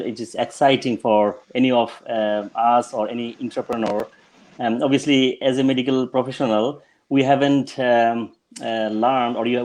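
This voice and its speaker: A man from Bangladesh, a general practitioner talking as a guest on an online panel, pausing often with ums and uhs. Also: mid-range voice, a little mellow, rough recording. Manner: hesitant, many ums and uhs, restarts sentences. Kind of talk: casual panel chat.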